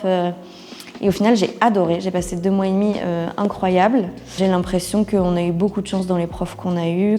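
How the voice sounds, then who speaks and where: A woman's voice: medium pitch, warm tone, long reverb tail. A woman of twenty-nine giving a casual, candid testimonial interview in informal, colloquial speech. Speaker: interviewee, communications officer and freelancer. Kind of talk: casual testimonial interview, talking about own career.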